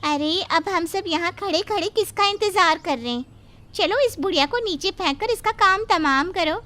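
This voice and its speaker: A woman's voice: extremely high pitch, moderate pace, pushed and bright. A woman voicing a cruel, scheming young daughter-in-law who talks harshly and bossily in a cartoon. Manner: harsh, bossy.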